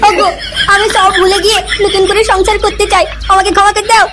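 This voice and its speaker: A woman's voice: very high-pitched, level tone, fast, bright, forceful tone, slight background noise. A woman voicing a clingy estranged wife in an amateur cartoon comedy, insistent and melodramatic. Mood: pleading. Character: clingy, pleading estranged wife begging to return.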